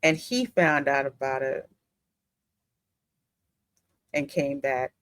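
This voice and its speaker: A woman's voice: extremely low pitch, slow pace, fairly bright tone, no room sound. A woman hosting a gaming commentary, emphatically theorizing aloud about the story as she reacts. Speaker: gaming host.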